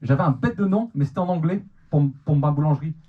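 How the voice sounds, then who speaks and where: A man's voice: fairly high pitch, expressive, quick pace. A young man from the Manche region of France with a Normandy accent, doing a teasing stand-up set in a club and often restarting his words. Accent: Manche Normandy French. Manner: teasing, self-mocking, stammering restarts. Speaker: stand-up comedian. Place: comedy club stage. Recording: dull lo-fi club recording, noisy. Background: audience laughter.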